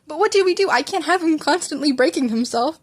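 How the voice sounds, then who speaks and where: A woman's voice: high voice, expressive, quick pace, voice pushed up. A woman reading the part of an anxious, doting mother who fusses and frets over her young son in an audio story. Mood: worried. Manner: fretful, fussing, motherly.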